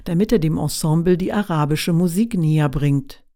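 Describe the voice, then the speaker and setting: Mellow-voiced woman narrating a radio feature. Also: sits low. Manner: calm, measured reading. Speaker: radio reporter.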